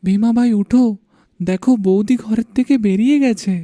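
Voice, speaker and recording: A woman voicing a loyal, meddling village man in an animated folk tale, conspiratorial and exclamatory. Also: mid-range voice, moderate pace, no echo, studio quality, natural voice. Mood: anxious.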